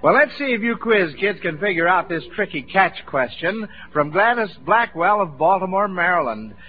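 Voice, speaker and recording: A man's voice: sits high, lively intonation, moderate pace, breathy edge. An American man hosting an old-time radio quiz, folksy and encouraging, with approving phrases he tends to repeat. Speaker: quiz show host. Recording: muffled vintage radio broadcast recording.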